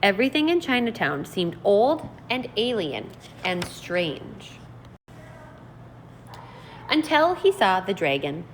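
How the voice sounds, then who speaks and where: A woman teacher reading aloud and explaining in an expressive, deliberate, instructional way. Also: mid-range voice, a little hiss or hum, low-quality recording. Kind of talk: read-aloud lesson, narrating and explaining vocabulary.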